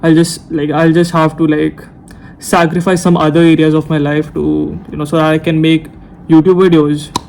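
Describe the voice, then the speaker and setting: A man's voice: on the high side, monotone, moderate pace, creaky, darkish tone, slight background noise. A nineteen-year-old man talking to the camera late at night without a script, rambling and hesitant, often correcting himself. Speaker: YouTuber. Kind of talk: unscripted talk to camera. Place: room at night. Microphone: camera mic.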